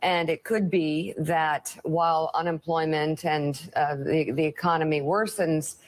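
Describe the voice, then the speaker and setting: A woman speaking in a live TV news discussion, halting and earnest. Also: low voice, fairly even intonation. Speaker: TV news panelist.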